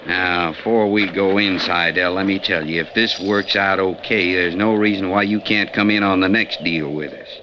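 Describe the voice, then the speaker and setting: An actor playing a brash, boastful, ruthless gold robber in an old-time radio western, with a talkative, folksy, blunt and menacing way of speaking. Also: male voice, sits low, fairly even intonation, moderate pace, hardly any pauses, close to an everyday voice. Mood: scheming, callous. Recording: lo-fi 1950s radio recording, faint noise.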